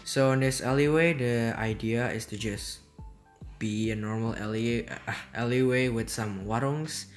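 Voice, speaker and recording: Man, relaxed game commentary, meandering and unhurried, medium pitch, soft music underneath. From Indonesia. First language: Indonesian. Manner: rambling, easygoing, hesitant. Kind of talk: casual gameplay commentary. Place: at home.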